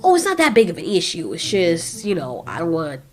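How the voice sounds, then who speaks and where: A woman's voice: lowish voice, wildly swooping pitch, a little fast. Audio drama monster: a burrowing male kaiju played by a woman, rambling, apologetic, foul-mouthed, music underneath. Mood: sheepish, rambling. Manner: slangy, hedging, apologetic. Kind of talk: casual audio drama dialogue.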